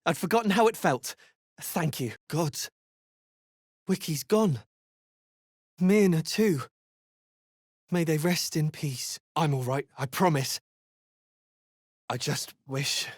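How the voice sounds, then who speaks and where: A woman playing a desperate, grieving deep gnome in a video game, earnest and pleading. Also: slow pace, pauses often, darkish tone, husky, no room sound, studio quality, heavily acted. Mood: quiet grief.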